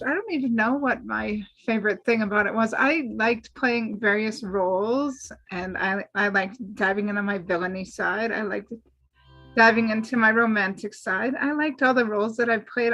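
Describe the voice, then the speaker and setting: A woman's voice: medium pitch, a bit bright, low-quality recording. A woman from Southern Alberta, an actor and writer, chatting in a friendly, slightly scattered way over a video call. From Southern Alberta, Canada. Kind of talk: casual chat on a video call.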